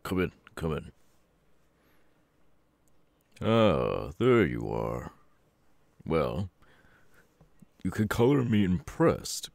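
Male voice actor as a stern middle-aged superhero dad, extremely low pitch, slow, grave, gruff, anime ASMR roleplay. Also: big pitch swings, darkish tone, dry recording, heavily acted. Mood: pleased, formal. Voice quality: gravelly, husky.

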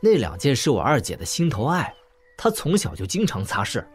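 Young martial prince, self-assured, teasing, animated mid-range voice, audio drama. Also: male voice, medium pitch, a little fast, faint music behind. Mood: firm refusal. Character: cocky, composed young prince and martial master.

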